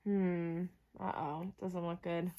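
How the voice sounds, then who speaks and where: A woman teacher reading a storybook aloud to young children, pausing to explain simply and ask them questions. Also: on the low side, little pitch movement, lo-fi sound, a little hiss or hum. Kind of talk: children's storybook read-aloud with asides to listeners.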